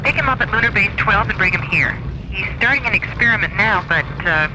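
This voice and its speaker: An actress playing a composed, commanding space station director, heard through a radio filter in a radio drama. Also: female voice, medium pitch, lively intonation, fairly quick. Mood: businesslike. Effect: radio transmission filter. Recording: noisy radio link, old radio drama.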